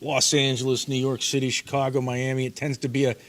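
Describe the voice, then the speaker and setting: A man, a radio play-by-play broadcaster talking sports as a guest, measured and analytical. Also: mid-range voice, flat delivery, fairly bright tone. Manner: measured, analytical, lists points. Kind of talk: casual sports talk.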